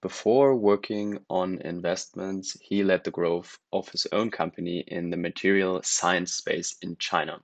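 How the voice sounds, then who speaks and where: A man's voice: low-pitched, steady tone, unhurried, few pauses, no room sound. A man hosting a podcast, interviewing guests in a conversational style. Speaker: podcast host.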